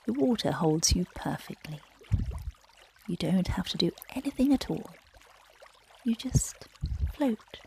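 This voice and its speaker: A woman guiding children through a relaxation and bedtime story in a gentle, soothing, breathy voice that often drops close to a whisper. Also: on the low side, lively intonation, measured pace, pauses often, a little hiss or hum. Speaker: meditation guide and bedtime storyteller.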